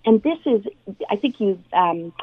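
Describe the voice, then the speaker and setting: A woman's voice: medium pitch, lively intonation, unhurried, dry recording. A Canadian woman explaining things earnestly and conversationally, using everyday examples, as an expert guest in a radio interview. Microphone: phone call into a radio show. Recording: thin phone-like line.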